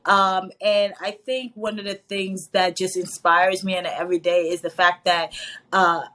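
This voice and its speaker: A woman's voice: lowish voice, normal speed. Candid woman from Guyana telling stories. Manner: warm, candid, laughs often, animated storyteller. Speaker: fashion designer, guest. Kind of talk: casual interview storytelling.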